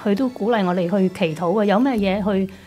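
A woman's voice: medium pitch, brisk, mellow. A woman with a Hong Kong Cantonese accent giving a conversational testimony as a guest in a radio studio. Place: small padded radio studio. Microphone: studio desk microphone. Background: music.

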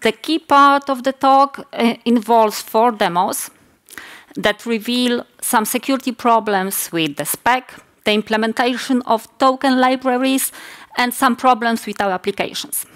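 A woman in her forties with a Polish accent, a native Polish speaker giving a technical conference talk on a hall stage at a lectern mic, measured and often pausing to correct herself. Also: on the high side, a bit bright. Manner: measured, with frequent hesitations and self-corrections.

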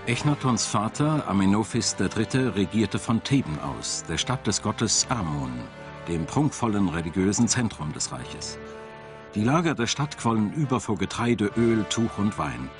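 A man narrating a scripted documentary in a measured, dramatic storytelling manner. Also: lowish voice, lively intonation. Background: music.

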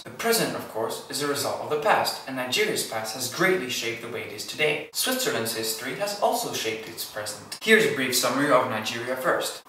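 A man's voice: mid-range voice, bright, forceful tone, slight background noise. A teenage boy whose first language is Polish, presenting a school book report with playful asides, recorded in a small room on a camera mic. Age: teens. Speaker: student. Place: small indoor room, plain wall. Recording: echoey room, distant mic, music behind.

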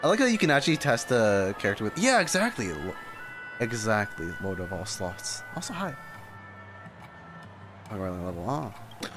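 A man's voice: lowish voice, very animated, moderate pace, pauses often, music in the background. A young man streaming, giving chatty, slangy, casual reactions to a game.

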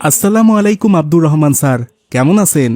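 Man as a mellow, weary village father. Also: fairly high pitch, expressive, fast, lightly acted. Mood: respectful. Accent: Bangladeshi. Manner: earnest, rural dialect. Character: poor, weary, devoted village rickshaw puller father. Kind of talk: cartoon voice acting.

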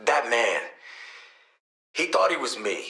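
A man's voice: mid-range voice, big pitch swings, slow pace, gravelly, bright, forceful tone, reverberant, full character voice. An actor playing a tough, wry male assassin and protective father in a video game, with a sardonic, streetwise manner. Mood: wounded pride.

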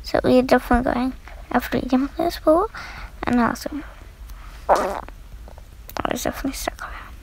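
High-voiced woman chatting over gameplay. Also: expressive, creaky, darkish tone, whispered, poor audio. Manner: rambling, halting, thinks aloud. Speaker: gaming video host. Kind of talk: casual gameplay commentary.

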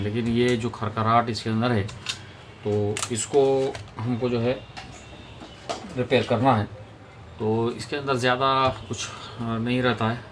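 A man's voice: mid-range voice, background noise. A man giving an informal hands-on repair tutorial, explaining step by step to viewers in a home-made recording.

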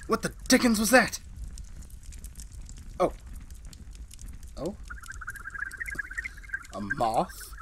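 Menacing radio-play husband, played by a man: theatrical, taunting, very slow and swooping, faint noise. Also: high voice, huge pitch swings, a bit bright, exaggerated voice. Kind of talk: retro radio drama acting.

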